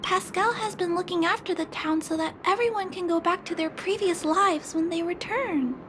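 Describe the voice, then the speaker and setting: Game girl, kind and polite,; very high, gentle, questioning, with game music behind. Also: normal speed, a little hiss or hum. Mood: thoughtful. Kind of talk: video game cutscene dub.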